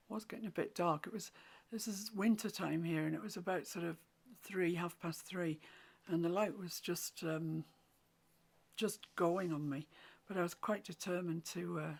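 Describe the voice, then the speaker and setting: A woman with a croaky voice, teaching a watercolour painting tutorial in soft, often half-whispered instructions. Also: on the low side. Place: home room with a mantelpiece.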